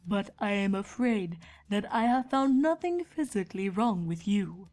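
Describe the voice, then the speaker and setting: Calm female zebra healer, acted by a woman, slow measured rhymes, wise manner, cartoon audio drama, music behind. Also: medium pitch, natural voice. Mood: puzzled. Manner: measured, speaks in rhyming couplets. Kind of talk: dramatized fan fiction reading.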